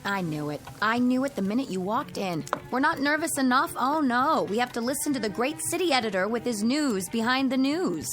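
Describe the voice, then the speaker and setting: An actress playing a weary, sarcastic housewife in a radio drama. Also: female voice, a little high, animated, a little fast, few pauses, fairly bright tone. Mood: exasperated. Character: exasperated wife, sarcastic, weary of drunken guest. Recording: radio drama with clear music bed.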